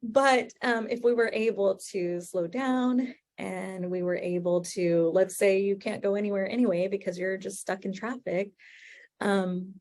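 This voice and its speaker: A woman's voice: mid-range voice, steady tone, measured pace, a little mellow, dry recording. Chatty woman therapist teaching, dry sound. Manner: chatty, many fillers, laughs easily. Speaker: therapist presenting a webinar. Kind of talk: informal webinar teaching.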